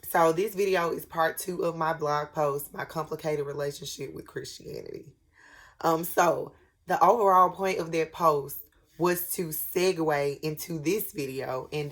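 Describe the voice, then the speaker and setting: A woman with a cold and a raspy voice, speaking earnestly to camera in a personal vlog, with many pauses and restarts. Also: deep voice. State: sick with a head cold. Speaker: vlogger. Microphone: camera at desk, glancing at notes.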